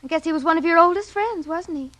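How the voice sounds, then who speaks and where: An actress playing a devoted, concerned detective's secretary in gentle, hesitant 1940s radio style. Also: female voice, extremely high pitch, fast talker, dry recording.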